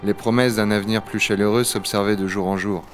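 A low-pitched, rugged hunter from a frozen future, acted by a man for an audio drama. He speaks with a wry, reflective, down-to-earth manner. Music plays clearly behind him. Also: steady tone, brisk, lightly acted. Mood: grave, reflective. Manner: gravely reflective narration, familiar offhand banter. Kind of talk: French audio-fiction acting and first-person narration.

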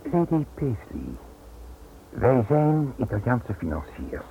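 A man acting a smooth, menacing Italian financier in a radio play, polite, insinuating and pressing. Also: mid-range voice, big pitch swings, drawn-out words. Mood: insinuating politeness. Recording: tinny, noisy old radio play recording.

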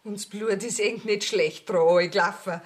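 An actress playing a cheeky, stubborn maidservant with a Bavarian accent who talks back insistently in a dialect radio comedy. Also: female voice, medium pitch, fairly even intonation, normal speed, close to an everyday voice. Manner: pert, insistent. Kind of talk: dialect radio play acting.

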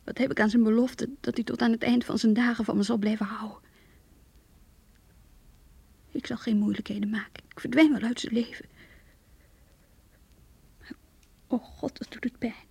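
Breathy, heartbroken young woman. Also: a little high, steady tone, no echo, heavily acted. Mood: anguished. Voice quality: breathy, hushed. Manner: intimate, halting, sorrowful. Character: heartbroken young woman confiding her lost love. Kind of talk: radio play monologue, diary-like narration.

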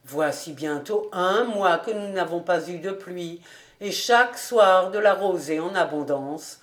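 A woman plays an old country farmer in a storybook, low in pitch and very unhurried. He is tipsy, pious and content, and speaks in deliberate exclamations. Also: close to an everyday voice. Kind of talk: audiobook storytelling.

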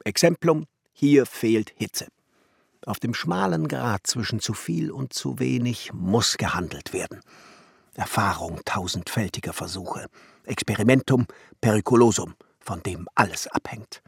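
An actor playing a pensive, exacting alchemist and master glassmaker in a literary radio play, speaking in a measured, musing way. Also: male voice, fairly low pitch, lively intonation, dry recording, clean studio sound, heavily acted. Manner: measured, musing, at times hushed and breathy. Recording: music behind the voice. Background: music.

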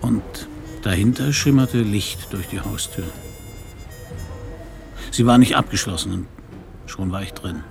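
An actor playing a hard-boiled, middle-aged private detective in a radio crime play, dry, laconic and slangy. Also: male voice, lowish voice, unhurried, creaky, pauses often, faint music behind. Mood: sardonic narrating. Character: hard-boiled, sardonic male Parisian private detective narrator. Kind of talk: noir radio play narration and dialogue. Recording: radio play, some background noise.

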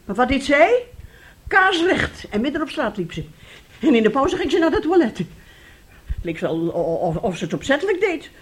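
A woman's voice: high voice, big pitch swings, moderate pace. An actress playing a fussy, scheming older mother in a radio play, theatrical and effusive, repeating herself. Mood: agitated. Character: fussy, scheming older mother, gushing then bitterly nagging. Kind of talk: Dutch radio play acting.

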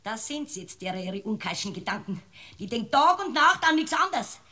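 A woman's voice: fairly high pitch, big pitch swings, fairly quick, pushed and bright, full character voice. A woman with a Bavarian accent playing a spiteful, self-righteous village defendant in a comic radio drama, gossiping and accusing.

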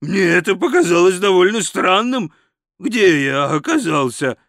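A man voicing a bemused sea troll in a storybook, with a deliberate, drawn-out delivery. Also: high voice, expressive, fairly bright tone, no room sound, clean studio sound, heavily acted. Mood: puzzled. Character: sea troll, bemused, slow-spoken male creature.

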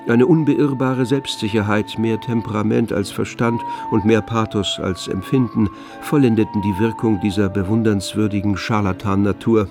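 A man's voice: lowish voice, fairly even intonation, normal speed, hardly any pauses, natural voice. A man acting as the narrator of a literary radio play, telling a nineteenth-century story. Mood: measured narrating. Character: literary narrator of a 19th-century French novel. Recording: music under the voice. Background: music.

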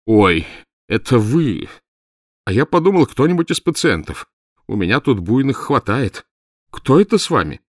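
A middle-aged head doctor, caught off guard, talks in a flustered, indignant rush, pitched high and loud against the narrator's deep baseline, as a male narrator plays him. The recording is dry and close. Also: lively intonation, moderate pace, no echo. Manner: nervous, indignant.

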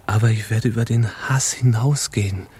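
A man's voice: lowish voice, steady tone, normal speed, a little mellow, slightly rough. A man in a radio play playing an idealistic, anguished revolutionary, speaking earnestly and reflectively. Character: idealistic, anguished male revolutionary steeling himself to kill.

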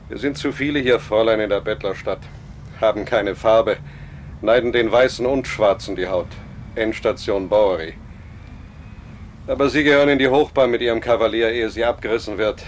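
An actor playing a bitter, theatrical beggar in a radio play, speaking in a declamatory, solemn and sardonic way. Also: male voice, lowish voice, normal speed, pauses often, a little hiss or hum, close to an everyday voice.

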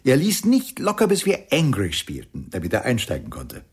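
A man's voice: medium pitch, huge pitch swings, normal speed, husky, exaggerated voice. An actor playing a drily amused club jazz musician who tells his memories matter-of-factly in a radio play.

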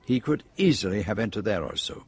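A man's voice: on the low side, animated, a little mellow, husky, dry recording. Methodical, theatrical male detective. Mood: measured, explanatory. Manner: deliberate, precise, dramatic pauses. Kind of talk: radio drama acting.

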